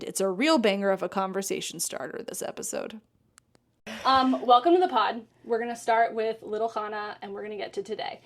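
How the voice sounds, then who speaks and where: Podcast host, woman, middle-aged, blunt and chatty, mid-pitched voice. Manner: frank, swears casually. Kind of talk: casual conversational interview.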